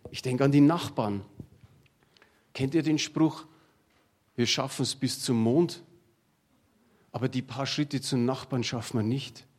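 Husky-voiced middle-aged male preacher. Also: mid-range voice, unhurried, pauses often. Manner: conversational preaching with everyday examples. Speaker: pastor. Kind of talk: sermon.

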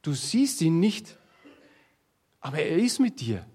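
A man's voice: a little high, very animated, slow pace, darkish tone, breathy edge. A middle-aged man preaching a sermon to his congregation, talking in a plain conversational way and drawing on everyday examples. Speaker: pastor.

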